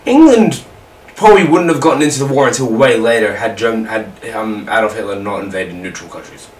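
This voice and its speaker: A man's voice: on the low side, expressive, a little fast. An Australian man casually telling history stories over gameplay, rambling, swearing and repeating himself. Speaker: storyteller on a gaming video. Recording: roomy, distant mic, faint noise.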